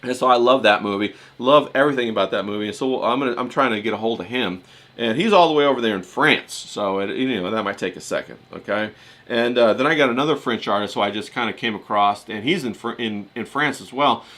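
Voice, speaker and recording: A man's voice: mid-range voice, fast, a bit bright. A man hosting a livestream, chatting casually in a folksy, colloquial, rambling way and often repeating himself.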